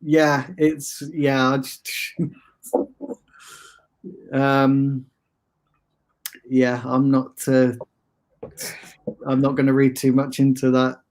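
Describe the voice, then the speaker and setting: A man's voice: medium pitch, level tone, extremely slow, long pauses, a little mellow, low-quality recording. A man with a soothing voice chatting casually as a guest about football, laid-back and hesitant, with restarts and fillers. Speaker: guest, fan channel streamer.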